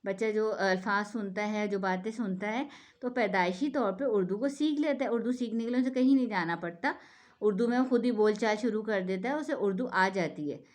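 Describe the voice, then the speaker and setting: Pakistani woman explaining things earnestly, lots of rhetorical questions, mid pitch. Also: brisk. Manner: persuasive, full of rhetorical questions and "theek hai". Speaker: speaker giving a talk on the national language.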